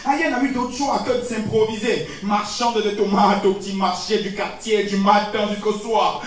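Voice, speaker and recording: A man performing slam poetry in a dramatic, solemn, declamatory way with deliberate pauses. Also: high-pitched, recorded from a distance. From Togo. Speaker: slam poet competing on stage. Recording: echoey stage recording from afar, music behind.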